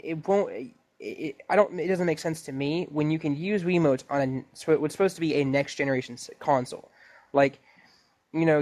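A man's voice: on the high side, a little mellow. A man co-hosting a podcast in a casual gaming discussion, stammering and often restarting his sentences. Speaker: podcast co-host. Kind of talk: casual video game discussion.

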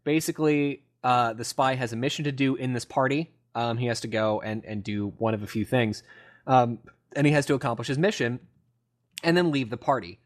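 A man chats as a podcast host in a casual, unscripted style. He has a middling pitch and explains things enthusiastically, wandering a little as he goes. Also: fairly quick. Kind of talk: casual gaming podcast chat.

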